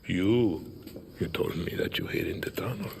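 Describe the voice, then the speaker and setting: Menacing low-voiced Latin dictator. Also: male voice, lively intonation, vocal fry, a little hiss or hum. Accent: Latin American Spanish. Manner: measured, deliberate, drops into menacing near-whispers. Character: menacing middle-aged male dictator, controlled, brooding father. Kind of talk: cinematic video game acting.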